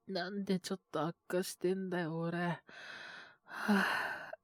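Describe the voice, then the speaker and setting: Slow-talking, coughing young junior coworker, acted by a man. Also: sits high, fairly bright tone, no echo, studio quality, full character voice. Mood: weary, unwell. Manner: polite and deferential on the phone, sighing, murmuring to himself. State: coughing, sick with a cold. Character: earnest young male junior coworker with a cold. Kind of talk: Japanese situation voice drama, intimate solo acting.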